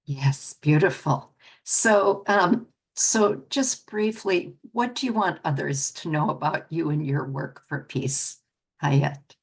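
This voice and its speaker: A woman's voice: low-pitched, slow pace, husky. A woman interviewer who asks short questions and offers brief, encouraging affirmations.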